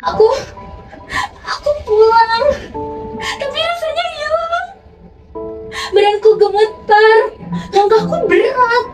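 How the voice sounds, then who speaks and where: A woman's voice: very high voice, takes it slowly, pauses often, distant mic, voice pushed up. A woman voicing a vulnerable young schoolgirl in a dramatic storytelling performance, with an emotional, earnest delivery. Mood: tearful, trembling. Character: frightened schoolgirl, polite, then tearful and defiant. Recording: echoey room from afar, background music.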